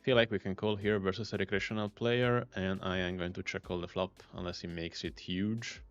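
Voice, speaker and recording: A man's voice: low voice, level tone, fairly quick, highs cut off, soft background music. A man with an Italian accent, talking through his poker hands on a live stream, thinking aloud and muttering hand names. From Italy.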